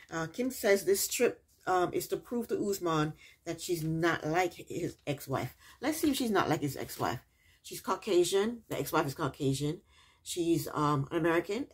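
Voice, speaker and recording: Husky-voiced sarcastic woman reviewer. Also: fairly low pitch. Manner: sarcastic, chatty, repeats punchlines. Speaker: reality TV recap vlogger. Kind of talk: casual episode review.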